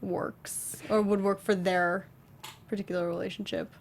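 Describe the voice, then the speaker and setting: Woman, talk show co-host, casual gaming chat, mid-range voice, tentative halting phrasing. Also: medium pitch, flat delivery, a little hiss or hum. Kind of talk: casual chat discussing a video game.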